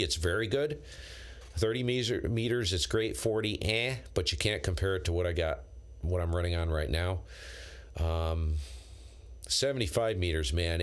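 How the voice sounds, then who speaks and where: An American man chatting casually on a ham radio live stream, in a rambling, blunt and slangy way. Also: deep voice. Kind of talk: casual unscripted chat with viewers, on-air call signs.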